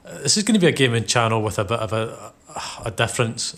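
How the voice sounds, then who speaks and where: A man's voice: medium pitch, animated, quick pace, a bit bright. A Scottish man in his thirties hosting his gaming channel, talking loosely and easily with plenty of fillers as he plays. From Scotland. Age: 30s. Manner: rambling, lots of "you know" and "um" fillers; laid-back, easygoing. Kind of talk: casual gameplay commentary.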